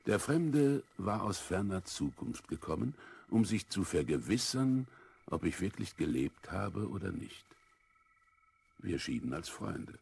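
An older male actor playing a wise, calm old Greek philosopher in a children's audio drama, measured and drily amused. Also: low voice, exaggerated voice. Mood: reminiscing, amused. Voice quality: husky, creaky. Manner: measured, deliberate, self-assured.

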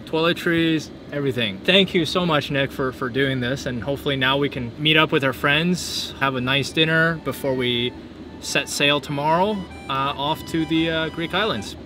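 A man's voice: a little high, normal speed. Young guy vlogging his trip, chatty and upbeat, music behind. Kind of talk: casual travel vlog narration.

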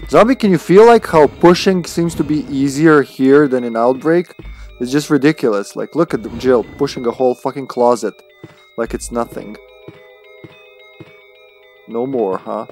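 A man gives casual live gameplay commentary. His pitch sits a little on the deep side. He rambles playfully and swears freely, with music playing behind him. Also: normal speed, a little mellow. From Serbia. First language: Serbian. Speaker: video game livestreamer.

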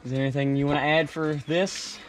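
A man's voice: fairly high pitch, flat delivery, brisk. A young man, chatting casually and joking while riding four-wheelers outdoors on a farm. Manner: joking, calls out to riders. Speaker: vlogger. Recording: loud engine and wind noise.

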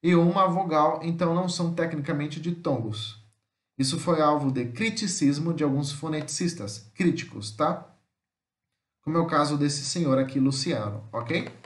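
A man with a Brazilian Portuguese accent teaches in a fairly high voice. He reads material aloud, then explains it informally and haltingly, repeating himself and adding little check-in questions. The room echoes noticeably around him. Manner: halting, repetitive, frequent tag questions to check understanding. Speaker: language teacher. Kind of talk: informal lesson, reading slides aloud and explaining. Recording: roomy, echoing voice-over.

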